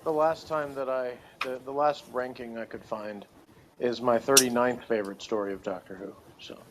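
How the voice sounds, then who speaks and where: A man co-hosting a review show in casual, halting, matter-of-fact chat, recorded on a tablet microphone. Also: medium pitch, fairly even intonation, a little hiss or hum.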